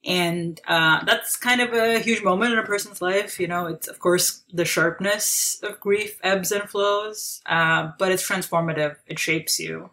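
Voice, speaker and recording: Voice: mid-range voice, steady tone, a little slow, few pauses, pushed and bright, slight background noise. An interviewer speaking earnestly, affirming the guest and framing questions with long, thoughtful lead-ins. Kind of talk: interview conversation.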